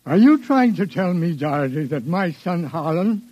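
A man's voice: on the high side, sing-song, moderate pace, a little mellow, no echo, faint music behind. An actor playing an indignant, formal older wealthy father in an old-time radio drama. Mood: indignant, troubled. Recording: muffled, dry vintage radio recording.

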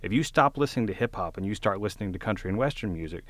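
A man from the southern US hosting a podcast chat, making his points briskly and argumentatively. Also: lowish voice, animated, fairly bright tone, dry recording. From southern USA. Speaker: host.

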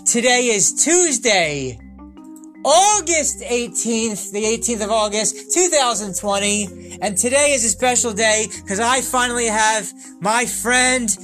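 An American man hosts a casual video call, speaking slowly and deliberately and often repeating himself. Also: very high voice, lively intonation, a bit bright, faint music behind, poor audio. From United States. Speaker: host.

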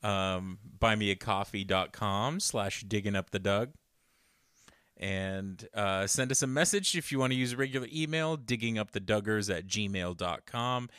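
A man's voice: fairly low pitch, normal speed, fairly bright tone, clean tone, dry recording, clean studio sound. A man co-hosting a podcast in casual chat, laid-back and agreeable, often answering in short replies. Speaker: podcast co-host.

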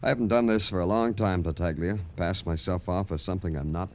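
A man's voice: low-pitched, steady tone, brisk, a little hiss or hum. An American man acting a terse, world-weary police detective in a hardboiled 1950s radio crime drama. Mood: weary, troubled. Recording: old radio drama with music behind.

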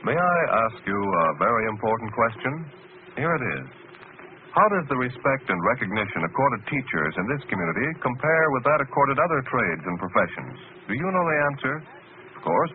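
A man reading scripted announcements in a 1940s radio style, earnest and emphatic. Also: medium pitch. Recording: tinny, noisy old radio recording.

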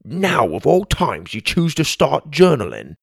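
A man voicing an excitable, cheeky young male fairy friend, drawn-out and wondering. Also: on the high side, gravelly, breathy edge, no room sound, studio quality, voice pushed up, full character voice. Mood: teasing.

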